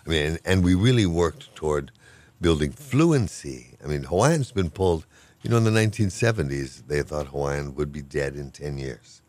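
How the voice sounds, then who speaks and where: Very deep-voiced older man, rambling and good-humored. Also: moderate pace, a little mellow. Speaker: professor of Hawaiian language. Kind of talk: casual interview talk.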